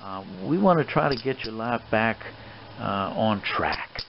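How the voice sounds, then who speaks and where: A man playing a stern, fatherly old colonel in an improvised role play, scolding a young man in a halting, rambling way. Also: on the low side, lively intonation. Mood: firm, concerned. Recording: dull lo-fi recording with clear background noise.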